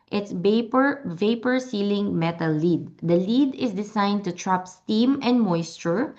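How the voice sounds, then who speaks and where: A woman's voice: medium pitch, a little mellow. Tagalog-speaking woman doing a chatty sales demo, lots of ums and restarts, kinda muffled recording. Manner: chatty, many restarts and fillers. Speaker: product seller. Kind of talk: product demonstration.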